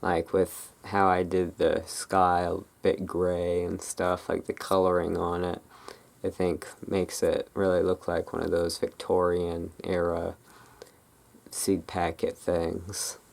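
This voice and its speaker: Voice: deep voice, completely flat delivery, slow pace, gravelly. An ASMR host casually rambling through a show-and-tell, tentative and self-correcting, speaking close to a camera's built-in microphone. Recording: noisy camera microphone with clicking sounds.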